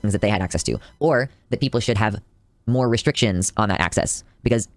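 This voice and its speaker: A man lays out his view in a video essay, earnest and explanatory. He speaks quickly in a fairly low voice, and the recording is close and dry. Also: dry recording. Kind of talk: scripted video commentary.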